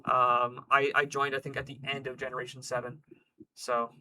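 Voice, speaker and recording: A man hosting a live stream, slightly hoarse, talking casually and thoughtfully with many fillers. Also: medium pitch, monotone, normal speed, pushed and bright, low-quality recording. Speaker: stream host.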